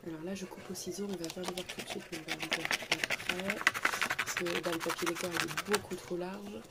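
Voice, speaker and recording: A woman's voice: lowish voice, steady tone, fairly quick, heavy background noise. A middle-aged woman with a cold, chatting through a home craft tutorial with lots of fillers. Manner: chatty, repeats "tout simplement" and "voilà", many fillers. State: congested with a cold. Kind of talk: casual craft tutorial narration. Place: living room at home.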